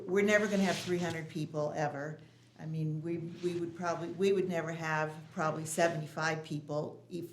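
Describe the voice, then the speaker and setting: Woman, lowish voice, indignant public commenter in an echoey hall. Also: a little hiss or hum. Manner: indignant, halting with restarts. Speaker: public commenter at a city commission meeting. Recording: echoey meeting room.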